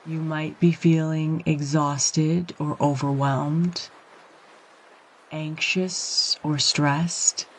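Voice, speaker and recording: A woman guiding a yoga nidra meditation, speaking in a calm, gentle, soothing way with measured phrases and long pauses. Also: deep voice, low-quality recording. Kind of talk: guided meditation, slow and soothing instruction.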